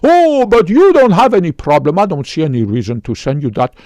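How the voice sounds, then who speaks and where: A man's voice: fairly high pitch, big pitch swings, fairly quick, a little mellow, no room sound, studio quality. A man putting on the voice of a busy male family doctor who brushes off a patient. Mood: dismissive.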